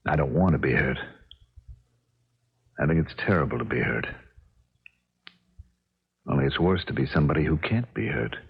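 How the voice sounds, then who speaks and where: An actor playing a plainspoken, earnest, vulnerable man falling in love in a 1970s radio drama, husky and groping for words. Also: very deep voice, expressive, normal speed, pauses often, heavily acted. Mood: reflective, wounded. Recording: dull, lo-fi 1970s radio recording.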